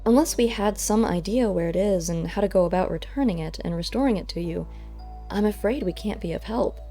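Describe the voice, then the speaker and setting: A woman's voice: mid-range voice, fairly quick, warm tone, faint music behind, lightly acted. A woman voicing a practical male animal investigator, matter-of-fact and probing, in an audiobook story. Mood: level-headed. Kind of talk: audiobook character voice.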